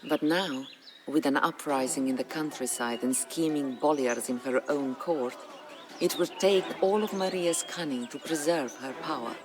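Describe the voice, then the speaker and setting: An actor narrating a historical game campaign as a solemn storyteller, with grave, measured, dramatic delivery. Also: medium pitch, background noise, close to an everyday voice. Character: solemn storyteller chronicling a medieval Bulgarian uprising. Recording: music under the voice. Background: background music.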